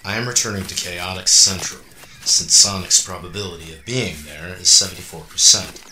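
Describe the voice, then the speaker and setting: Deep, sharp-edged man as half-robot hunter. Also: normal speed, a little hiss or hum, poor audio. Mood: cold, clinical. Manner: formal, clinical. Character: Shadow, cold formal amnesiac half-robot male hunter. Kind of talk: amateur radio drama log monologue.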